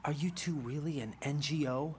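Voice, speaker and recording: A man's voice: on the high side, normal speed, a little hiss or hum, close to an everyday voice. An actor playing a young male soldier who asks tense, challenging questions.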